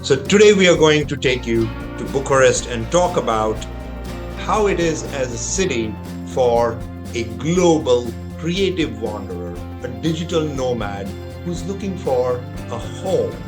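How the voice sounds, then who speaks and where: A middle-aged man hosting a travel podcast, talking in a measured, explanatory, conversational way. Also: medium pitch, expressive, over music. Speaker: podcast host.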